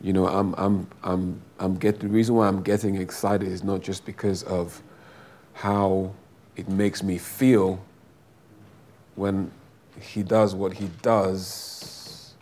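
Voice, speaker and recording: A man preaching with a big booming voice that sits very low and stays flat, with little rise and fall. He prays and preaches slowly and fervently, stretching out his phrases and repeating them. A faint hum of room ambience sits behind him. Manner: slow, drawn-out, fervent delivery with repeated phrases. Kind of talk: sermon with prayer.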